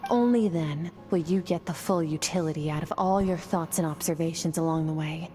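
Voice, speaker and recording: A woman voicing a composed woman sword master in a video game, teaching in a calm, measured way. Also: sits low, faint music behind, close to an everyday voice. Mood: stern. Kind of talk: game voice acting.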